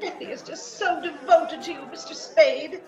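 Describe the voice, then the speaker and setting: A woman's voice: extremely high pitch, normal speed, a little mellow, soft background music, a faint crowd. A woman playing a gushing, sociable older mother hosting a party, fluttery and effusive, in old-time radio style over an echoey, muffled video call. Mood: gushing, excited. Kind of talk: old-time radio comedy-drama acting. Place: actor's home, recorded over video call.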